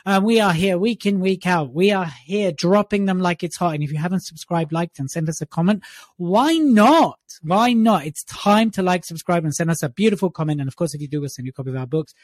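A man's voice: high voice, fairly quick, dry recording, clean studio sound. A man co-hosting a podcast in casual, jokey banter, teasing his partner. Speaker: podcast co-host.

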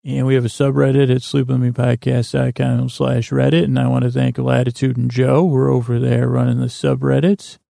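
A man hosting a bedtime podcast in a gravelly voice, rambling in a halting, meandering way and often interrupting himself to lull listeners to sleep. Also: mid-range voice, little pitch movement, mellow, no echo, studio quality. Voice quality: gravelly, creaky. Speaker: podcast host.

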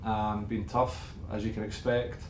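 A young man chats casually in a low, very even voice. The room echoes around him, with faint noise behind. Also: unhurried. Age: young adult. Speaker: co-host, professional rugby player. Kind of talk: casual chat. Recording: roomy echo with faint background noise.

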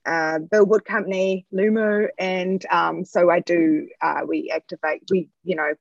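A woman's voice: on the low side, fairly even intonation, measured pace, creaky, no echo, dull audio. A woman from New Zealand with a Kiwi accent, chatting as a podcast guest about her art work, with lots of fillers and restarts. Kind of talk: casual interview chat.

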